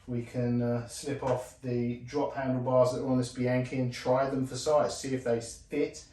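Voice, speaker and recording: Male vlogger, mid-pitched voice, informal hands-on reviewer thinking aloud, recorded in a roomy, echoey space. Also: little pitch movement, moderate pace, dark tone. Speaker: bike restorer presenting his video. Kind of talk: casual hands-on product review. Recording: roomy echo, voice drifting from mic.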